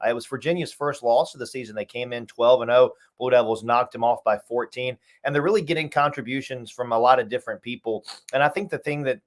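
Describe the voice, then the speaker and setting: A male radio sports announcer speaking as an interview guest. He talks quickly in a mid-range voice, giving fluent analysis of a team's play. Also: mid-range voice.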